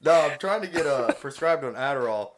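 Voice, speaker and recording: A man in a casual podcast chat, talking in an excitable, rambling way with some swearing. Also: medium pitch, brisk, slight background noise. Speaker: podcast co-host.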